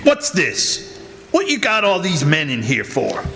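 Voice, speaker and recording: A man's voice: on the high side, huge pitch swings, a little slow, vocal fry, a bit bright, faint music behind, exaggerated voice. An actor playing a blunt, impatient small-town man with clipped, folksy speech in a 1940s-style radio drama. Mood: challenging. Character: blunt, impatient small-town planter who trusts facts. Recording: live stage, long hall reverb.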